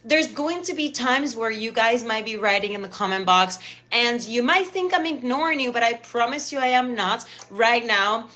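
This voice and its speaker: Woman, Cuban accent, live stream host, chatty and gushing, bright voice, 30s. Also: a little high, expressive, normal speed. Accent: Cuban Spanish. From Cuba. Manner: effusive and chatty, repeats thanks. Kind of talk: live stream talk show intro.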